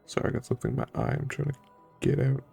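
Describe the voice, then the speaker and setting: A man's voice: mid-range voice, flat delivery, very fast, gravelly, warm tone, breathy edge, soft background music. A male streamer giving casual gameplay commentary, musing wryly and sometimes reading aloud. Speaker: streamer playing and reacting to a story game.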